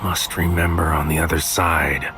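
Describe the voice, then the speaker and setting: A brooding, anxious male infiltrator in a sci-fi audio drama. The voice is very low, and the phrasing is terse, poetic and deliberate. Music plays under the narration. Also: little pitch movement. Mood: dark, foreboding. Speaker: narrator and lead character. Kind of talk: scripted first-person noir sci-fi audio drama narration.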